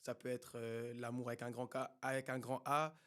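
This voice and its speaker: A man's voice: mid-range voice, level tone, normal speed, a bit bright. A male singer from Congo talks as a guest on a podcast. He chats loosely and rambles, with a joking, easygoing manner. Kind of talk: casual podcast chat.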